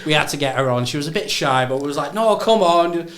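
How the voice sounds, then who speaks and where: A man's voice: on the high side, expressive, fast talker, a bit bright. Upbeat podcast host man. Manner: upbeat, laughs often, enthusiastic. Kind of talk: casual interview chat.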